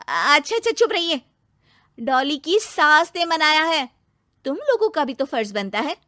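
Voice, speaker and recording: A very high, whiny young wife and new mother, acted out by a woman, wheedling and sorry for herself. She speaks in storybook dialogue with a dry, close sound. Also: sing-song, a little slow, bright, forceful tone, no echo, exaggerated voice. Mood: peevish complaining. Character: self-pitying, work-shy young daughter-in-law and new mother. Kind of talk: animated moral story dialogue.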